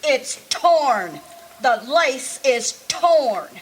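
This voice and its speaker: Woman acting as elderly rural aunt, folksy, bossy, high-pitched, unhurried, old-time radio drama. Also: big pitch swings, breathy edge, exaggerated voice. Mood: insistent. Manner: folksy, scolding, drawn-out talk. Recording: live performance with background noise.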